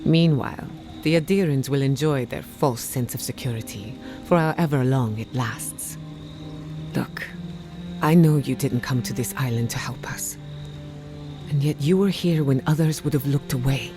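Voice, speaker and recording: An actress playing an earnest, burdened but resolute woman scholar in a fantasy video game, speaking in a measured, reflective way. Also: rumbling low, over music. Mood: wary, resolute, then grateful. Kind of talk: dramatic video game dialogue.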